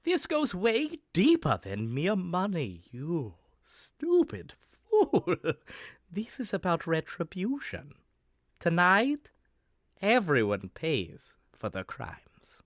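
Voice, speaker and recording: A man's voice: high voice, wildly swooping pitch, takes it slowly, no room sound, heavily acted. Guy doing evil butler for a comedy audio drama, scheming n condescending, really swoopy, dry recording. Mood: sneering, vengeful. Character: scheming villainous butler, vengeful, sneering, condescending.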